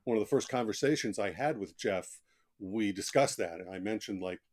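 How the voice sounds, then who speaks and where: A man with a fairly low voice talks as an interview guest. He is talkative and conversational, reflecting on his experience as an author. His explanations come in plain, informal asides. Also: normal speed, darkish tone.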